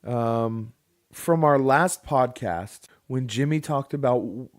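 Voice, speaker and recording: A man's voice: medium pitch, takes it slowly, no echo, clean studio sound. A man co-hosting a casual podcast from home, blunt and teasing as he reads out poll results. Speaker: podcast co-host. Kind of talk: casual chat.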